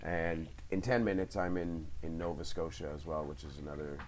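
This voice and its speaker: A man's voice: extremely low pitch, steady tone, fairly quick, a little mellow, a little hiss or hum. A man in his late thirties, a guest on a remote interview, talking in a rambling, hesitant way with many ums, over a headset. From Canada's Maritime provinces. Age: 30s. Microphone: headset on a call.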